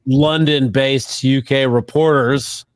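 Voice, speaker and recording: A man's voice: mid-range voice, fairly even intonation, slow pace, no echo. Brash, profane man with a dry sound. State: losing his voice, mild laryngitis. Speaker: podcast co-host. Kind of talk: casual political podcast chat.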